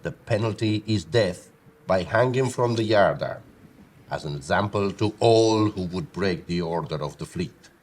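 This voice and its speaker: A man plays a high-handed admiral of Spain in an audio play. He speaks in a deep register at a measured pace, with pompous, formal authority. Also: expressive. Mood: stern, formal. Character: haughty, high-handed Spanish admiral, pompous turkey cock.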